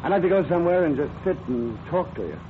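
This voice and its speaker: A man playing an eager, earnest teenage boy in an old radio play. His boyish voice is slightly high and very expressive, heard through a thin, hissy broadcast recording. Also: fast, natural voice. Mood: tender, eager. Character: earnest eighteen-year-old boy on a date. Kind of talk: 1940s radio acting. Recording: tinny vintage radio, hissy background noise.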